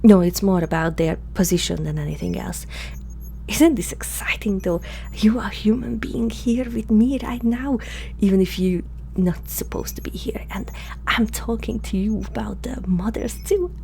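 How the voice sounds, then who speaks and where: A woman playing a curious, awkward alien scientist in human form, speaking hesitantly and softly to the listener in an ASMR roleplay. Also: mid-range voice, fairly even intonation, vocal fry, natural voice. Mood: hushed, excited. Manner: hesitant, halting, softly spoken, sometimes whispering. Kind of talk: ASMR roleplay, one-sided dialogue to the listener. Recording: faint background hum and noise.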